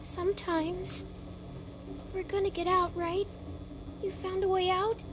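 Game role: trusting young girl, very high small voice, slow and tentative, ambient noise behind. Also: flat delivery. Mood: sad, anxious. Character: frightened, innocent, trusting little girl. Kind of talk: dramatic video game voice acting.

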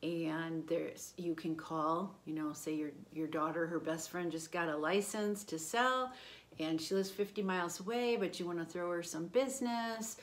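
A woman in her fifties, a real estate agent, talking to camera from a room at home and calmly listing her points one by one. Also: fairly low pitch, moderate pace. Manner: steady, confident listing of points. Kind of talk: promotional talk to camera. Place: indoor home room by a window.

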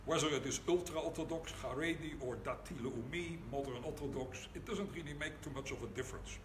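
An older man with a Dutch accent, a rabbi giving a public lecture in a large synagogue hall, speaking deliberately and emphatically with dry wry humor. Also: fairly high pitch. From Netherlands. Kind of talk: public lecture at a book launch. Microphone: camera low in front of the lectern. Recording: echoey hall with background noise.

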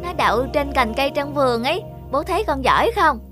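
A woman's voice: high voice, expressive, brisk, music in the background. A woman voicing an eager, affectionate little boy in a cartoon, childlike and earnest. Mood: eager, cheerful. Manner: childlike, pleading. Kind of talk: cartoon voice acting.

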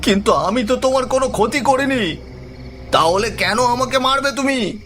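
A man's voice: moderate pace, a bit bright, faint music behind. A man voicing a frightened villager in a cartoon horror story, anxious, urgent and pleading. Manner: high-pitched, urgent, pleading.

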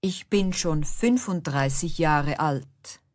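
A woman reading simple lesson sentences slowly and distinctly, repeating each one. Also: low-pitched, lively intonation. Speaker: language lesson narrator. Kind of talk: slow, clearly articulated example sentences, each said twice.

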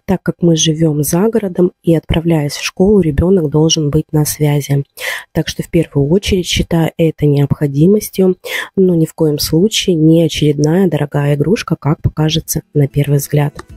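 A woman narrating her home vlog as a voice-over, slightly ill and a little hoarse, calmly explaining each step. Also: low-pitched, moderate pace, no echo. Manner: calm, instructive, explains step by step. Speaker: home vlogger.

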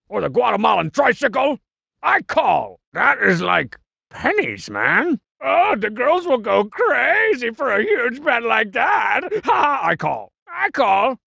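A man voicing a cocky, scheming cartoon masked wrestler for a comedic video game, with a gravelly, strained rasp and a bragging, sarcastic, mock-cool manner. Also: sits high, sharp tone, dry recording, full character voice. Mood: cocky, excited.

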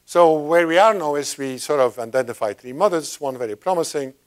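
A man's voice: fairly high pitch, fairly quick, clear, resonant tone. An older man at a podium, giving a chatty science talk with frequent restarts and asides. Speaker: guest speaker. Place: lecture room at a podium. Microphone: lapel mic.

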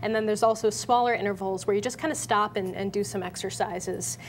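A woman's voice: medium pitch, steady tone, brisk, slight background noise. A young American woman co-hosting a tech show from a studio desk in a casual presenting style. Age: young adult.

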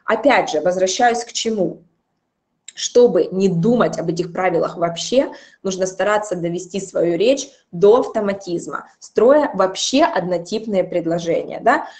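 A woman's voice: medium pitch, a little mellow. Woman teacher explaining grammar patiently. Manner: explanatory, repeats example phrases slowly. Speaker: English teacher. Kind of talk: recorded online grammar lesson. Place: small indoor room.

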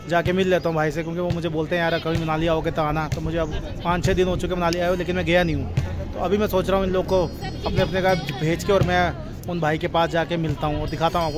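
A young man in his twenties chatting casually as he walks and films himself on a phone held at arm's length in a busy outdoor market. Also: fairly high pitch. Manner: chatty, walking while filming himself. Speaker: travel vlogger. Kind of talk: casual vlog chat. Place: busy outdoor town market and monastery grounds. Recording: outdoor phone audio with crowd noise. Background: crowd chatter and street noise.

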